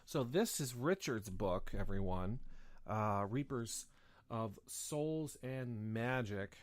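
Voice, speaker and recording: A man's voice: medium pitch, lively intonation, slow. A man hosting a casual live show, rambling with many fillers and restarts, sniffly with a slight cough. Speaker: host.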